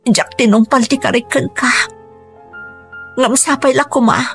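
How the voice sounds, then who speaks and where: A woman's voice: high-pitched, steady tone, a little slow, vocal fry, fairly bright tone, soft background music, exaggerated voice. An actress with a Filipino Ilocano accent playing an earnest woman in a rural family radio drama. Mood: regretful, plaintive.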